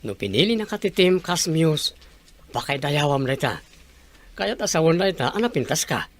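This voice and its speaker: Lively, encouraging father acted by a man. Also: a little high, moderate pace. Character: supportive, encouraging father talking with his daughter. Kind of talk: Ilocano audio drama acting.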